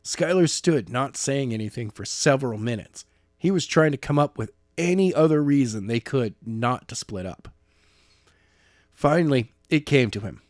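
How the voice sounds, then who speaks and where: A man narrating a novel chapter in a steady, plain reading voice at a mid pitch. The recording is close and dry, with no room sound. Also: moderate pace, no echo. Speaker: audiobook narrator.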